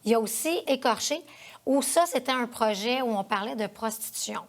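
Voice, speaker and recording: Higher-pitched Quebec French woman hosting. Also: fairly quick. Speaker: TV show host, interviewer. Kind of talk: conversational studio interview. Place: TV studio.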